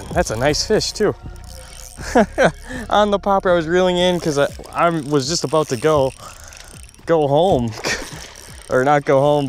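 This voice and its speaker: A young man fishing alone from a small boat on a lake, chatting to the camera in a running commentary. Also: on the high side. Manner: muttering to himself, then excited and laughing. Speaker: fishing vlogger. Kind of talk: solo outdoor fishing commentary. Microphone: camera mic on the boat, wind and water around.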